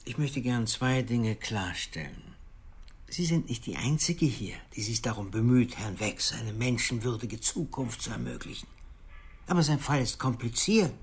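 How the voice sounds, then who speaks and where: Stern, husky male institution director. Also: mid-range voice, expressive. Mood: stern, formal. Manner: measured, bureaucratic, firm. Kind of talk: radio drama acting.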